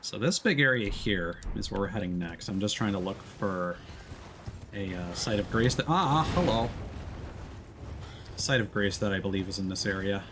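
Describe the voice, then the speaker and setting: Low-pitched man streaming a game. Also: lively intonation, normal speed, noisy background. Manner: explanatory, thinking aloud. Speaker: streamer playing a video game. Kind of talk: casual let's play commentary.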